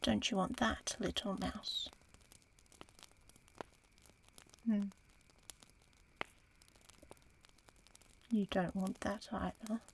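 Casting: woman to play an ancient female dragon for fantasy audio roleplay; unhurried, gravelly half-whisper, aloof yet teasing and curious. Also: medium pitch, steady tone, background noise. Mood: teasing, musing. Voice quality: breathy, often whispering. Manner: slow, measured, musing.

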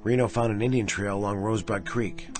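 Radio drama role: actor as dutiful, earnest male sergeant, lowish voice, clipped delivery, faint music beneath. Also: steady tone, brisk, natural voice. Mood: uneasy, insistent. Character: serious, uneasy army sergeant, dutiful and earnest.

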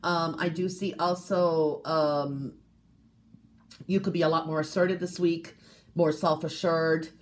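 A woman giving a weekly coffee cup reading in a gentle, casual way, often checking in with "okay?". She is congested from a cold and sniffles. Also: low-pitched, fairly even intonation, a little slow. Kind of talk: casual tarot-style reading to camera.

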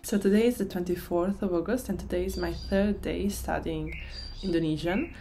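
A young woman with an Italian accent, talking casually to camera in a small room about learning a language, expressive and quick to laugh. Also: on the low side, faint music behind. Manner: chatty, expressive, laughs easily.

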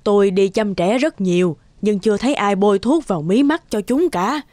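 An actress voicing a timid, curious and secretly greedy middle-aged nursemaid in a cartoon fairy tale, hesitant and muttering to herself. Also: female voice, mid-range voice, normal speed, a little mellow, no room sound, lightly acted. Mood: puzzled, curious. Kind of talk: animated fairy-tale dubbing. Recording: background music under the voice.

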